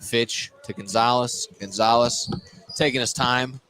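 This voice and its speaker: A man gives live basketball play-by-play in a playful way, often correcting himself. His pitch is mid-range, and clear gym noise with bouncing balls sits behind him. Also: steady tone, a little slow. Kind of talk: live sports commentary.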